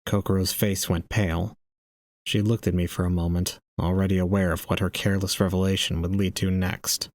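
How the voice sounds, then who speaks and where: Man acting a low, monotone, terse young man. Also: a little fast, natural voice. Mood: sombre. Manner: flat, precise, deadpan. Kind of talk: audio drama acting.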